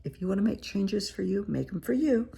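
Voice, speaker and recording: Woman therapist, raspy and croaky from a cold, dark husky tone, frank and encouraging. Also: mid-range voice, expressive, brisk. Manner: frank, encouraging, speaks straight to the viewer. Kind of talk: casual direct-to-camera advice talk. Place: her living room.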